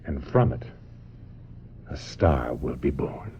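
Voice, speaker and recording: An actor in a radio drama playing a suave, domineering film director who persuades with repetitions and little tag questions. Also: male voice, extremely low pitch, big pitch swings, takes it slowly, loud music behind the voice, full character voice. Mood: persuasive, passionate. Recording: dull, noisy old radio recording.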